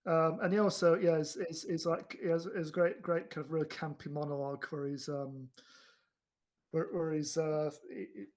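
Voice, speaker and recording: A man co-hosting a film podcast, chatting casually in a halting, rambling way with repeated starts. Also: on the high side, little pitch movement, a little mellow.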